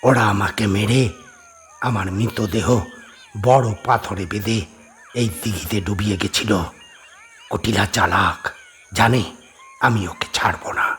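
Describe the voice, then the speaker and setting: A man's voice: on the low side, unhurried, pauses often, a bit bright, a little hiss or hum. A man playing a stern, vengeful spirit of a holy man in a horror audio drama, speaking with solemn, commanding emphasis. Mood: grim, resentful.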